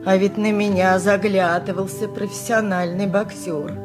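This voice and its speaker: An actress in a radio play playing the long-suffering, shrewd wife of a poor donkey driver, with a husky voice and a plaintive, wry, emphatic manner. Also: female voice, mid-range voice, flat delivery, normal speed, music in the background, close to an everyday voice. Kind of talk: radio play acting, 1980s, dramatic monologue.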